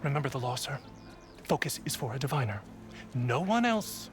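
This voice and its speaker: A man's voice: medium pitch, big pitch swings, normal speed, faint music behind, close to an everyday voice. An actor playing an officious, stern male official with a formal, pompous manner in a game cutscene. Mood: stern objection. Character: officious, rule-bound male compliance official, stern and indignant. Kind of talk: dramatic game cutscene acting.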